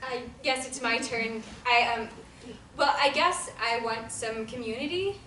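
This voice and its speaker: A woman's voice: a little high, a little slow. An actress reading a lonely, hesitant young woman in a table read. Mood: shy. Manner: hesitant, soft-spoken. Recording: roomy audio from far off, faint noise.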